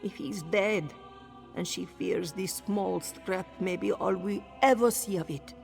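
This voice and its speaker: A woman's voice: mid-range voice, unhurried, vocal fry, a little mellow. A synthetic woman's voice playing a proud cat-folk townswoman in a game, who speaks of herself in the third person. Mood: eager. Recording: reverb with game music behind. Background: game music.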